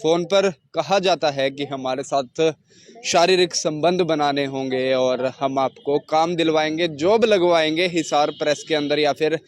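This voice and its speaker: A man speaking as a news reporter, giving a wordy, halting introduction to a report. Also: a little high. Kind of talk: spoken news introduction to camera.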